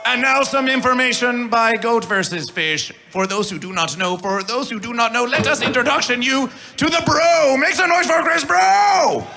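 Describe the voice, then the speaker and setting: A man emceeing a live festival stage, theatrical, with mangled grammar and chanted, shouted callouts. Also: very high-pitched, animated, normal speed, creaky, few pauses, faint music behind. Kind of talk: live stage hype announcing.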